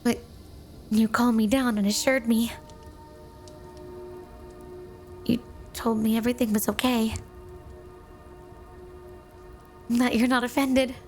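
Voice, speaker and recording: A sweet, high voice of a clingy snow spirit wife, acted by a woman, speaks in an intimate ASMR roleplay. She is flirty and utterly devoted, with a possessive edge. Music and rain sit clearly behind her. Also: little pitch movement, normal speed, close to an everyday voice. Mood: bashful.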